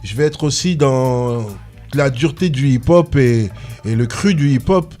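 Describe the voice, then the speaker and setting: A man's voice: mid-range voice, a little slow, warm tone, soft background music. A man, a reggae artist, talking earnestly and passionately in a casual radio interview, rambling and restarting his sentences. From France, Congolese descent.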